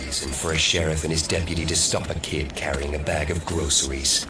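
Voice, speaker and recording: An actor playing a haunted, defiant man recounting his past in deliberate, dramatic audio drama narration. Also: extremely low pitch, fairly even intonation. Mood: grim recollection. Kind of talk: dramatic first-person storytelling in audio drama. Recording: distant mic, clear background noise.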